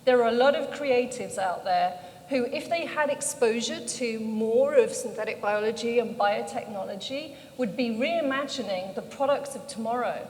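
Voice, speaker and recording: A woman's voice: a little high, moderate pace, darkish tone. A middle-aged British woman speaking on a panel, telling her story in a conversational way. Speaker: panel speaker, founder of an organization. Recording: echoey hall, background noise.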